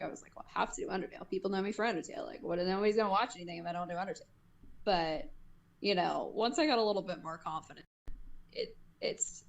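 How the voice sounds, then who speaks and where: A woman's voice: medium pitch, vocal fry. A woman speaking as a podcast guest in a casual, candid and informal way. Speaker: podcast guest, online voice actress. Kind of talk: casual chat.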